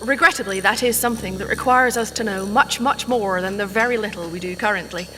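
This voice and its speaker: A woman voicing a calm, formal overseer in an audio drama, speaking in a measured way. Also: medium pitch, slight background noise, lightly acted. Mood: composed. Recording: long reverb with music underneath.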